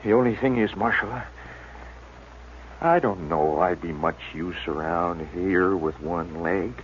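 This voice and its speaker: A sick, feverish frontier settler speaks low, weak and haltingly, with stoic resignation. It is 1950s radio drama on a dull recording with faint noise. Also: male voice. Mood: hesitant. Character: gravely ill, stoic frontier settler father. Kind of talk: 1950s radio western acting.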